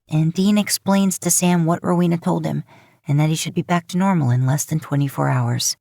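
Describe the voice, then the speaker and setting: A woman's voice: sits low, darkish tone, no echo, studio quality. A woman reading a piece of fiction aloud as a podfic narrator, in scripted storytelling style.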